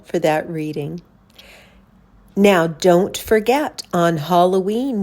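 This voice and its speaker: Female programme host, unhurried delivery, medium pitch, reading announcements and closing the show. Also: a little mellow. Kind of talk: scripted sign-off announcements.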